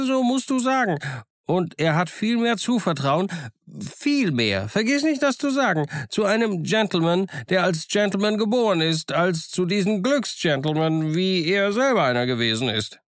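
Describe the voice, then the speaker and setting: A man's voice: high voice, very animated, normal speed, no echo, studio quality, pitched above the performer's own voice. A man voicing an eccentric old marooned sailor who rambles on insistently. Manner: rambling, insistent, winking confidentially.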